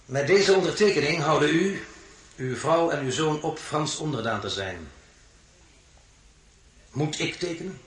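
A man's voice: fairly high pitch, lively intonation, measured pace, long pauses. A man acting in a radio drama as an imperious, volatile French emperor, with a commanding, mocking, theatrical delivery. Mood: stern. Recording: roomy sound with faint noise.

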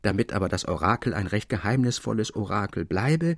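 A man's voice: lowish voice, animated, a little fast, dry recording, studio quality, voice pushed down. A man reading the part of an earnest, intense young scholar in a literary story, with solemn, formal phrasing. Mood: formal, persuasive.

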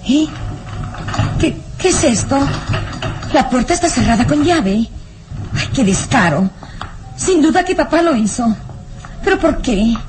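A sheltered, anxious young noblewoman in an old radio melodrama. Her voice is very high, and she frets to herself in halting phrases. Soft music sits behind her. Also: very animated, poor audio. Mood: alarmed, indignant.

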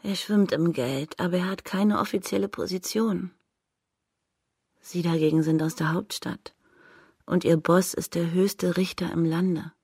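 An actress playing a proud, blunt, scornful young courtesan in a radio drama, cynical and frank in manner. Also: female voice, fairly low pitch, measured pace, gravelly, darkish tone, husky, no echo, clean studio sound, heavily acted. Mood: calculating, demanding.

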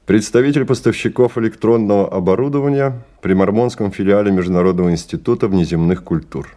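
A man narrating a novel in a radio drama as a measured, sober storyteller. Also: lowish voice, mellow, natural voice.

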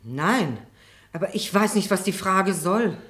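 A woman's voice: medium pitch, expressive, unhurried, fairly bright tone. An actress playing a guarded, indignant female witness in a German radio courtroom drama, giving evasive, clipped answers. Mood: indignant, defensive. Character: defensive, indignant female witness, a stenotypist, under cross-examination.

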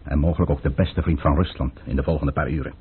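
An actor playing a cold, scheming Russian count in a Dutch radio play, theatrical and insinuating. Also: male voice, extremely low pitch, fairly even intonation, fast talker, a little hiss or hum. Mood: cold, plotting. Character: scheming Russian count, commanding, cold, silky courtly conspirator. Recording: muffled, band-limited old radio recording.

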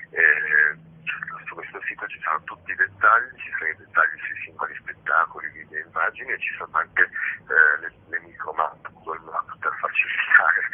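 Very deep-voiced man on a phone line. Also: husky. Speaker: festival organiser being interviewed. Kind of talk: informal explanatory interview answers. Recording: muffled noisy phone line.

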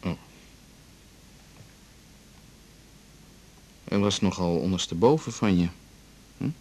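A practical husband in a radio drama, with a low, husky voice. He is probing and a touch sardonic, halting when unsure of his words. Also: a little mellow. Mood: guarded, probing.

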